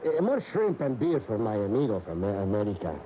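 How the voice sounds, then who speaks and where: Radio drama: suave, teasing Spaniard, low animated voice, accented, dull AM recording. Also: male voice, a little fast. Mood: courteous, sly. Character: sly, courteous, teasing Spanish gentleman. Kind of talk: 1980 radio drama acting. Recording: muffled, noisy 1980 AM radio.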